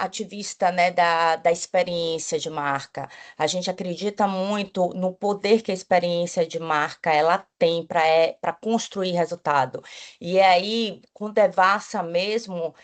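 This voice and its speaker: Woman speaking on a panel. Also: fairly low pitch. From Bahia, Brazil. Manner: enthusiastic, with frequent restarts and "né?" tags. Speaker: panelist, agency executive. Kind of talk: panel talk.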